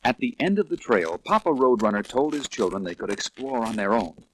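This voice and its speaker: A man's voice: mid-range voice, sing-song, a little fast, fairly bright tone, slight background noise, rough recording. A man narrating a children's read-along story in a scripted storytelling style.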